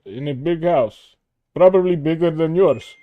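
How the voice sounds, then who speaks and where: A man's voice: a little high, measured pace, narrow, tinny audio, natural voice. A man putting on an Indian accent to play a nervous, boastful caller with broken grammar on a call-in stream. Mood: bragging. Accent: put-on Indian. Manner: broken grammar, stammering, pushy. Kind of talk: prank call-in bit.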